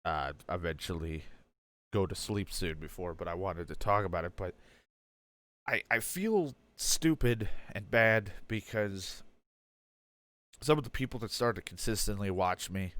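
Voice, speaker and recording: A man's voice: low-pitched, a little slow, fairly bright tone, no echo. A young man with a stuffed-up nose giving a candid personal update as a streamer, rambling and self-deprecating with many fillers and restarts.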